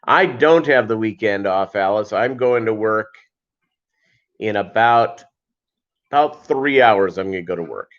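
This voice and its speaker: A man's voice: fairly low pitch, animated, measured pace. Man rambling casually on a muffled livestream. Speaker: livestream host. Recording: dull lo-fi livestream audio.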